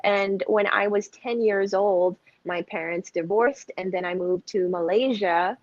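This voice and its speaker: A young woman from the Philippines talks openly about her life in a relaxed interview, often laughing. The sound is dry and close, a little dull and muffled. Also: medium pitch, unhurried, no echo. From Philippines (Cebu). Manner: candid, laughs often, light fillers. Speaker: pageant candidate, guest. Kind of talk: casual interview answers.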